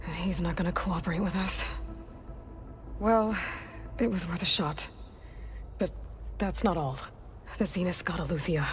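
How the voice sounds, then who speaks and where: A woman's voice: on the low side, measured pace, pauses often, natural voice. A woman playing a determined, practical young heroine in a video game, speaking plainly and directly. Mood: businesslike. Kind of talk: scripted video game acting. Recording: music behind the voice.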